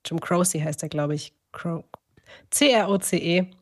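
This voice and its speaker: Chatty woman podcaster with a lowish voice. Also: measured pace. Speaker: podcast co-host. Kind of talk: casual podcast chat.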